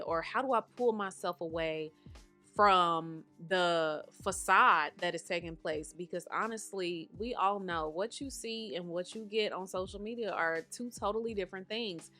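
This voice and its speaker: A woman in her thirties hosts a podcast from home, chatting casually and calling her listeners honey and baby. Her voice is bright, with a slightly nasal, congested edge today. Faint music plays softly behind her. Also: fairly low pitch. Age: 30s. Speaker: podcast host.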